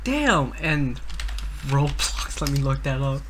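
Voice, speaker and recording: A man's voice: mid-range voice, sing-song, slow, noisy background. A man co-hosting a podcast, chatting casually and teasing his friends with jokes. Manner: joking, teasing. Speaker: podcast co-host.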